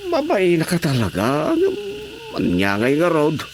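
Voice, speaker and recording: Reassuring troubled husband, man, lively intonation, radio drama. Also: a little high, a little slow. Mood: explaining. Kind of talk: Ilocano radio drama acting. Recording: faint background noise with insects.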